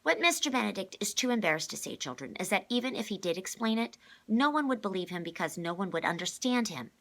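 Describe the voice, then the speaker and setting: A woman voicing a brisk, protective, outspoken assistant who explains things firmly, in a storybook reading. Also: medium pitch, expressive, a bit bright, pitched above the performer's own voice. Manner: firm, explanatory.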